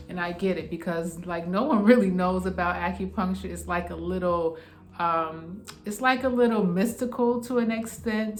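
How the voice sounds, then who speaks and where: A woman in her forties with a New York accent talks through how she works as an acupuncturist. Her voice sits low and friendly, and she keeps checking in with listeners in a chatty, reassuring way. The room around her adds a noticeable echo. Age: 40s. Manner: chatty, reassuring, often tags "okay?". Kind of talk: casual explainer talking to camera.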